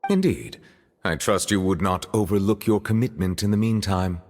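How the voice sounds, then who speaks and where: A man playing a dignified, grave high judge in a video game, speaking in a stately and scrupulously formal way. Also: normal speed, darkish tone, in a large hall, a little hiss or hum. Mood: formal, guarded. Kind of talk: scripted video game voice acting.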